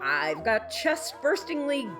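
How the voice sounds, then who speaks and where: A woman playing a kooky, folksy, alien-obsessed shopkeeper who pitches theatrically in a parody local radio commercial. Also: sing-song, slow pace. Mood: cheery sales pitch. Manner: theatrical, folksy, dramatic salesmanship. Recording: music bed under the ad.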